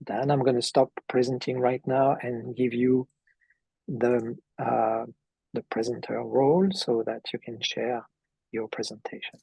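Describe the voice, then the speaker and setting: Gravelly, husky man hosting a call. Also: medium pitch, level tone, darkish tone, no echo, rough recording. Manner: halting, many fillers. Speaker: community call host. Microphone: video call.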